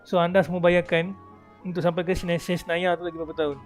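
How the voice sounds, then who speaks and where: A man's voice: high voice, little pitch movement, racing. A man with a Malaysian accent chatting casually as a game streamer, joking and teasing, mixing in English. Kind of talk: casual livestream chat and commentary. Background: game music.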